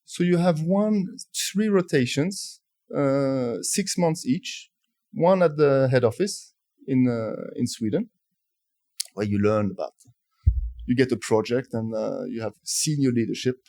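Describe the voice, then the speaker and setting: Interview guest: French-accented man, forties, mellow low-key tone, dry close sound, measured and halting delivery. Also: dry recording. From France. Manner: thoughtful, halting, many restarts. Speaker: guest, telecom executive. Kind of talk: conversational interview storytelling.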